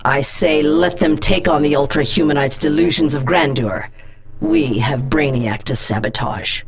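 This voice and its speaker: Low, gravelly-voiced tough female spymaster for a game; blunt, clipped, no warmth. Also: a little slow, slight background noise. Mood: commanding. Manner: commanding, blunt, intimidating. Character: stern female government agency director. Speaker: game character. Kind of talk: game voice lines.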